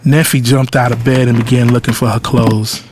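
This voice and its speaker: A man's voice: medium pitch, flat delivery, moderate pace, darkish tone, a little hiss or hum, close to an everyday voice. A man playing a cocky, lovestruck adult son, telling his own story candidly and intimately in an audio drama. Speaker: narrator and lead character. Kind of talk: first-person audio drama narration and dialogue.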